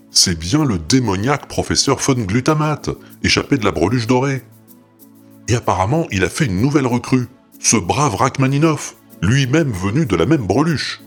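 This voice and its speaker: A man's voice: fairly low pitch, husky. A male narrator telling a story playfully, addressing the listener directly. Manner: playful, confiding.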